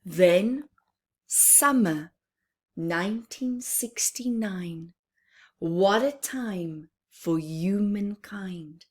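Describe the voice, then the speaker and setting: A woman reading a picture book aloud for young children, expressively and with chatty asides, pausing between phrases and often dropping to a hushed tone. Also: mid-range voice, breathy edge. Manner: deliberate phrasing with pauses, often dropping to a hushed near-whisper.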